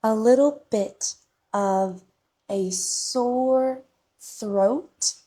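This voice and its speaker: Very slow-speaking woman teacher, congested. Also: on the high side, warm tone, husky. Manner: very slow, short phrases with long pauses. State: sick with a cough and congestion. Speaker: podcast host and English teacher. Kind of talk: slow, deliberate English lesson monologue.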